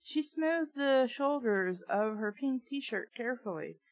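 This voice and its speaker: A woman's voice: a little high, expressive, slow, dry recording, dull audio. A woman reading a book aloud casually, with blunt, sweary, opinionated off-the-cuff commentary. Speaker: reader and commentator of a children's book.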